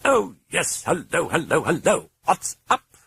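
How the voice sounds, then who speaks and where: A man voicing a hoarse, foul-mouthed possessing spirit with a British accent, in a very high, raving voice. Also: sing-song, unhurried, pushed and bright, a little hiss or hum, exaggerated voice. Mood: mocking cheer. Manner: raving, then mock-cheerful and theatrical.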